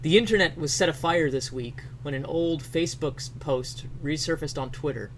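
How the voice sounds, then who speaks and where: Sarcastic, higher-pitched man reading theatrically. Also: normal speed, fairly bright tone, a little hiss or hum, rough recording. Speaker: streamer doing dramatic readings. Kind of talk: mock dramatic reading with sarcastic asides.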